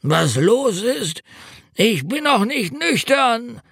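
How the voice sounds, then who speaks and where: A man's voice: very high-pitched, sing-song, slow pace, gravelly, a bit bright, slightly rough, dry recording, clean studio sound, voice pushed up, exaggerated voice. A man voicing a drunk, sick older road worker who groans, wails and complains in slurred speech. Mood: groaning. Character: hungover, whining older male road worker.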